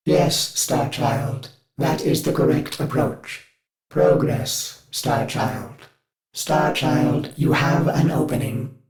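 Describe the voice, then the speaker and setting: An actor playing a cold, formal upgraded male ship AI in a video game, measured and controlled. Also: medium pitch, echoey room, poor audio. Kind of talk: video game companion dialogue.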